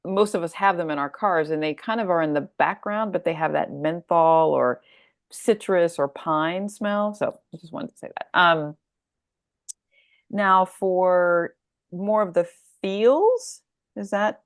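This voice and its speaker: Low-voiced woman author, thinking aloud. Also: a little slow, dry recording, clean studio sound. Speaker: guest author. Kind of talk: casual, reflective interview talk.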